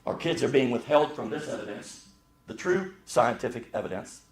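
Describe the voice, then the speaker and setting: A man's voice: mid-range voice, lively intonation, normal speed. A man giving an earnest, emphatic argument, heard in a video clip played back for review. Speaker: creationist speaker in a reviewed video.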